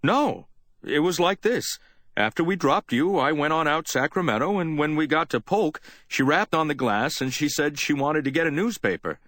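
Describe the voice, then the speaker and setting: A man's voice: a little high, lively intonation, normal speed, a bit bright, dry recording, voice pushed up. A man reading an audiobook, voicing a plain-spoken working cab driver in a folksy, raised voice. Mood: matter-of-fact.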